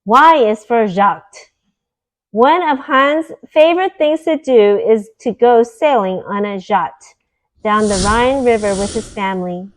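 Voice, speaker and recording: A woman with a fairly high voice reads a picture book aloud for children. Her delivery is deliberate and teacherly, setting out each word and its meaning clearly for young listeners. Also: poor audio. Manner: deliberate, teacherly, explaining words.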